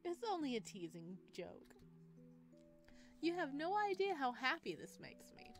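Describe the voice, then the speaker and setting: A woman's voice: sits high, very animated, a little slow, soft background music, voice pushed up, full character voice. Visual novel love interest: teasing, possessive male dream creature, doting with a hint of menace, played by a woman. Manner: teasing, coaxing. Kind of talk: reading visual novel dialogue.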